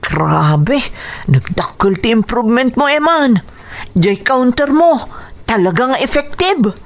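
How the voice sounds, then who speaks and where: A woman's voice: on the high side, very animated, unhurried. A woman playing a spirited, supportive young friend of a boxer in a radio drama, earnest and encouraging. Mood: warm, proud.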